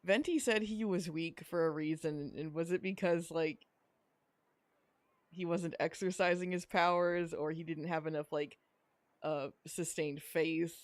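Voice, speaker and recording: A woman's voice: deep voice, steady tone, a little slow, no echo. A woman co-hosting a podcast, giving casual, chatty commentary on a video game. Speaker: podcast co-host.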